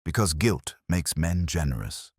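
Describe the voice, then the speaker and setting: Deep-voiced guy reading as a wistful academic lady in a mystery story, quiet, careful, a bit cynical, dry sound. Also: big pitch swings, measured pace, husky, dry recording, full character voice. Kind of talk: quoted dialogue within detective story narration.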